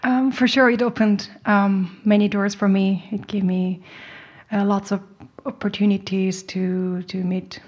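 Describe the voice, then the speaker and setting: Young woman, soft-spoken, hesitant, shy laughs, medium pitch. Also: flat delivery, unhurried. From Korea. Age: late 20s. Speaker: guest cellist. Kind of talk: radio interview answers. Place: radio performance hall studio.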